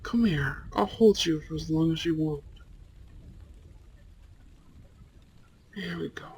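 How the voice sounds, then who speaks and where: A man's voice: fairly high pitch, moderate pace, darkish tone, natural voice. A man playing a handsome, protective, flirtatious vampire in an intimate ASMR roleplay, murmuring soothingly and often near a whisper. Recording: faint storm noise behind. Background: rain and thunder.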